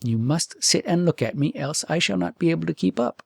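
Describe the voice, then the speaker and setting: Fairytale prince, acted by a male reader: young, self-sacrificing, patient, lovesick, humble, slightly raspy. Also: a little high, expressive, a little fast, dry recording, voice pushed up, full character voice. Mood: faint, earnest. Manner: earnest, humble, faint.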